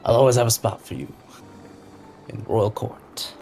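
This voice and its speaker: A man's voice: fairly low pitch, animated, normal speed, vocal fry, dark tone. A man playing a laid-back, self-assured mentor who would be king, in a tabletop audio drama, talking with encouraging assurance. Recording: music behind the voice.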